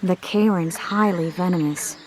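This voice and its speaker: A woman's voice: on the low side, normal speed, darkish tone, slight background noise, lightly acted. A woman as composed, knowing game sorceress. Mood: assured, advising. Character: knowing, composed adult sorceress advising a monster hunter. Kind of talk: fantasy video game acting.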